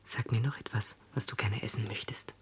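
An actor playing a tender, brooding confidant at night, speaking softly and pensively in a radio play. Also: male voice, fairly low pitch, steady tone, close to an everyday voice. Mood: hushed, tender. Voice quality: soft, half-whispered. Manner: hushed, slow, pensive. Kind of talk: German radio play acting.

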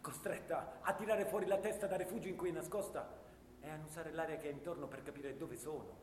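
Distant and echoing, a despairing trench soldier, played by a man declaims in a fairly high voice. It is an anguished theatrical monologue, carried through a reverberant hall. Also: quick pace, close to an everyday voice. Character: despairing trench soldier, trapped in darkness like an animal. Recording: echoey hall from far off, faint noise.

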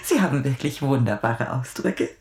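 A woman's voice: extremely low pitch, lively intonation, moderate pace, a little mellow, slightly rough, heavily acted. An actress playing a sly, mannered society lady in a radio play, speaking in a knowing way. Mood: arch, sly.

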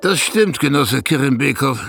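An actor playing a humble, wounded old man in a radio drama, speaking in a halting, reflective way with a gravelly voice. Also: medium pitch, lively intonation, fairly bright tone, a little hiss or hum. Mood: moved, grateful. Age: older.

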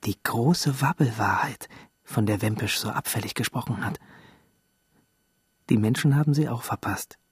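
An actor in a German radio drama playing a naive non-human pupil, hesitant, questioning and full of wonder. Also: male voice, mid-range voice, close to an everyday voice. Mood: pensive. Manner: halting, questioning.

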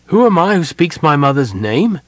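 Man acting an impassioned young elf prince, high-pitched. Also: big pitch swings, normal speed, no room sound. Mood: impatient. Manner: impassioned, urgent, commanding. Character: young male elf prince, anguished, imperious, impatient.

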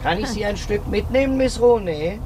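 High-voiced, courteous gentleman official. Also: animated, moderate pace. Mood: solicitous. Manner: polite, solicitous. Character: courteous, solicitous male racecourse official and old admirer. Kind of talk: radio drama acting. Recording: loud vehicle and outdoor noise.

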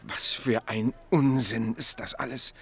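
An actor playing a worldly, skeptical Roman army captain in a radio play, intense and passionate. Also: male voice, mid-range voice, fairly even intonation, a little slow, fairly bright tone, husky, exaggerated voice. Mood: bitter, anguished. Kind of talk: classic German radio play acting.

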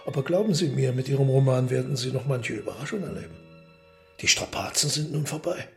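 An actor playing the Devil as a suave, knowing gentleman with a creaky voice in a radio drama. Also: medium pitch, fairly quick, soft background music, close to an everyday voice. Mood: knowing, reassuring.

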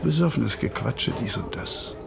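Chatty, gravelly streetwise informant. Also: male voice, mid-range voice, very animated, noisy background, heavily acted. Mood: cagey, haggling. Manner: teasing, drawn-out storytelling. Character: streetwise male police informant, cagey, chatty, haggling. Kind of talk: radio crime drama acting. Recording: music playing behind the voice.

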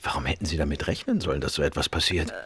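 Smooth gentleman detective, very lively intonation. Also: very deep voice, exaggerated voice. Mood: polite, probing. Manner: measured, polite, probing. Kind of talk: radio drama acting.

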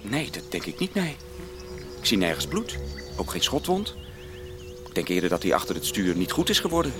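A man's voice: fairly low pitch, very animated, moderate pace, over music. A male actor in a Dutch radio play playing a level-headed teenage boy detective. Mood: sober.

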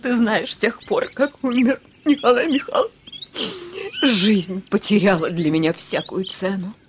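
An actress playing a young landowning widow in a radio play, theatrical and solemnly, proudly mournful. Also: female voice, mid-range voice, moderate pace, a little hiss or hum, lightly acted. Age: young adult. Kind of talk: Russian radio play acting. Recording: studio radio play with soft music.

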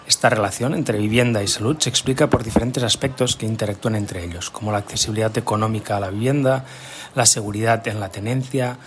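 A man with an accent from Spain reading a scripted statement in a formal, measured way. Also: medium pitch, a little hiss or hum. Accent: Spanish, from Spain. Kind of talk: scripted statement read aloud.

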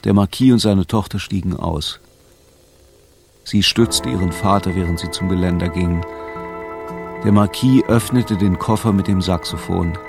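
A man narrating a radio drama as a sombre, measured storyteller. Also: deep voice, creaky, over music.

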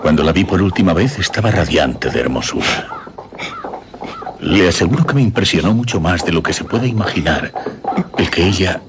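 An actor playing a courteous, sceptical gentleman in a radio drama, politely formal and inquisitive. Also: low voice, lively intonation, moderate pace, long pauses, noisy background. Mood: sympathetic. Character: courteous, sceptical gentleman father, a concerned old friend.

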